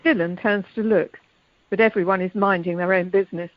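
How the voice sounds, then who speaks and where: A woman's voice: mid-range voice, fairly even intonation, brisk, dry recording, natural voice. A woman as the storyteller of an amateur radio play, narrating scene directions plainly from a script. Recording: dull telephone conference line.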